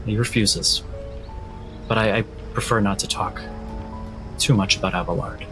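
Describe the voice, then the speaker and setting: A man playing an earnest, fascinated male scholar who speaks in a thoughtful, halting, articulate way. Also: lowish voice, steady tone, over music, close to an everyday voice.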